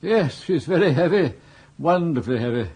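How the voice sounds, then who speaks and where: An actor playing a vague, amiable elderly earl with an upper-class English accent, hesitant and easily bewildered, in a British radio comedy. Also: male voice, on the high side, expressive, normal speed, darkish tone, slightly rough. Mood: pleased, grateful.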